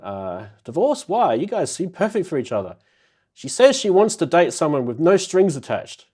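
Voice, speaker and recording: A man's voice: fairly high pitch, sing-song, normal speed, darkish tone, slightly rough. Jokey man hosting a chat show. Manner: jokey, laughs at own jokes. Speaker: podcast host. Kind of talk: casual chat.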